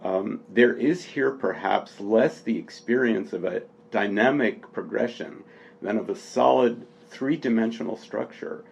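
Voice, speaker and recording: A man speaking off the cuff in literary appreciation of a book, with halting, deliberate, thoughtful phrasing. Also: on the low side, gravelly. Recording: dull, lo-fi sound with faint noise.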